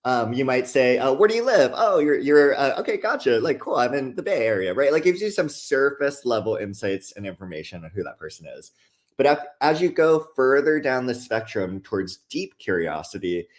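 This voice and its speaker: A young adult man from Hawaii, a guest author talking in an earnest, relaxed way with lots of casual fillers. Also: medium pitch, moderate pace. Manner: earnest, relaxed, many fillers like "like" and "you know". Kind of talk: casual conversational interview.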